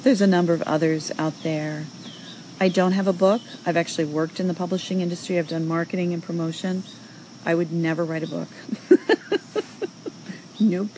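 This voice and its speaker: Low-voiced woman hosting a call-in audio show. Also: a little mellow, a little hiss or hum, low-quality recording. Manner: chatty, encouraging, thinking aloud with fillers. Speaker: host. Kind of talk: casual audio show replying to callers.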